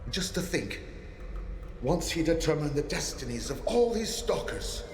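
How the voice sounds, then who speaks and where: A fanatical, grief-maddened male cult leader from a video game. His voice is high and unhurried as he declaims dark philosophy like a stage soliloquy. The sound echoes around him. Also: big pitch swings. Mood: morbid musing. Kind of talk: theatrical dramatic monologue in a video game. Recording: echoey space, loud ambient noise.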